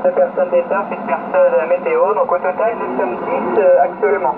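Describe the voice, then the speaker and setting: High-voiced man over a crackly radio link. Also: steady tone, normal speed. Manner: hesitant, many fillers and repeated words. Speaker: amateur radio operator on an expedition. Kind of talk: casual explanation over a radio contact. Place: remote tropical island. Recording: noisy, phone-like radio link.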